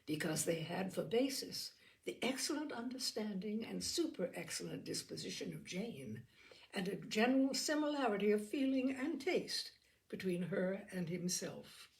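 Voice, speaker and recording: Woman reading an old novel out loud, lots of creak in the voice, expressive storyteller. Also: medium pitch, normal speed, rough recording. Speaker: audiobook reader. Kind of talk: scripted literary narration, reading a classic novel aloud.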